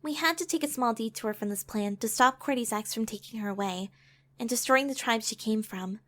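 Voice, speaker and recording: A woman acting a gentle, vulnerable young heroine in an audio drama, telling her past in an earnest, emotive, confiding way. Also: on the high side, fairly quick, natural voice. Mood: tender, reflective.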